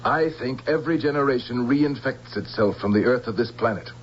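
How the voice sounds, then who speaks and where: A grave, determined general played by a man in a science fiction radio play. He presses each point with measured, theatrical emphasis. The sound is dull and old, with light hum and hiss underneath. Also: mid-range voice, natural voice. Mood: firm, urgent. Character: grave, resolute male general of a future army. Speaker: radio drama actor. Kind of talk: theatrical radio drama acting.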